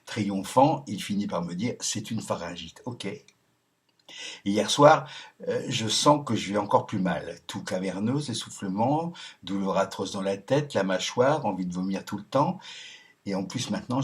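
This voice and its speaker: A man in a casual monologue, reading messages aloud and adding sardonic remarks, with frequent chuckles, ums and restarts. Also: on the low side. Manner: hesitant, many ums and restarts. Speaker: video commentator.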